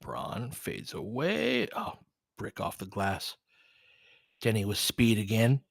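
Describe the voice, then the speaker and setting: A man's voice: fairly low pitch, wildly swooping pitch, slow, creaky, breathy edge, no room sound, studio quality. A man giving live basketball play-by-play and casual analysis as a candid, colloquial fan commentator who reacts openly and swears.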